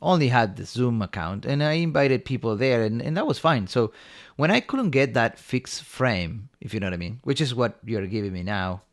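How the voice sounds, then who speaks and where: A man's voice: medium pitch, lively intonation, normal speed. A man from Argentina, a company co-founder, eagerly telling his story in a rambling way with many fillers. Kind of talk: casual storytelling in an interview.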